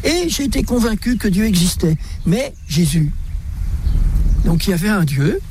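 Un homme âgé à l'belge, invité d'une émission de radio, qui raconte son parcours en conteur vivant, ponctué de petits rires. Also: male voice, high voice, normal speed, a little hiss or hum. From Belgium, raised in Brussels. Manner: lively storyteller, chuckles often. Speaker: interview guest. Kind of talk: radio interview, personal testimony.

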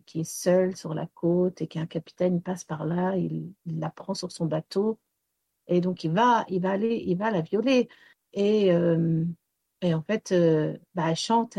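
A woman's voice: lowish voice, gravelly, mellow, no room sound. A woman raised in Wales, with English as her first language, answering interview questions about tales and songs and hesitating with many fillers. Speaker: storyteller and singer.

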